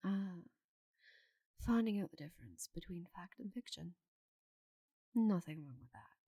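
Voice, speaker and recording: A woman voicing a giant female orc knight in an ASMR roleplay, confident, teasing and loyal, with soft breathy asides. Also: on the low side, lively intonation, a little slow, no room sound, heavily acted. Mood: calm, amused. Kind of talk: ASMR roleplay, intimate slow delivery.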